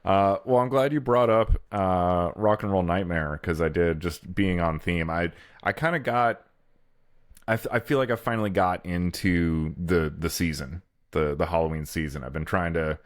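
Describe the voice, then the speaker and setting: A man's voice: sits low, moderate pace, no echo, studio quality. A man chatting casually and informally as a podcast co-host, with some swearing. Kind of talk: casual chat about horror movies.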